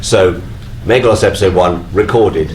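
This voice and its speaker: A man's voice: low-pitched, measured pace, a little mellow. A man chatting casually and nostalgically on a live panel, with a self-mocking, conversational manner. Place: on stage at a fan convention. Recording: noisy live hall recording.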